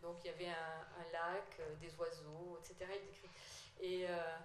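A woman's voice: fairly low pitch, flat delivery, normal speed, a little hiss or hum. Woman on a panel telling a story, lots of ums, roomy echo. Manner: hesitant, many fillers. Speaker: panel speaker. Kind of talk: anecdote in a discussion. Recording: echoey room with faint ambience.

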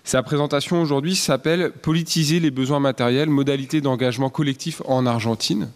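Halting male conference moderator. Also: mid-range voice, steady tone. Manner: halting, frequent fillers. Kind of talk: introducing speakers.

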